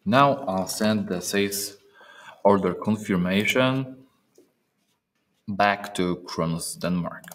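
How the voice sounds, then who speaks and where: A man narrating a step-by-step software training demonstration, speaking haltingly with pauses in mid-phrase. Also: fairly low pitch. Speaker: software trainer presenting a tutorial.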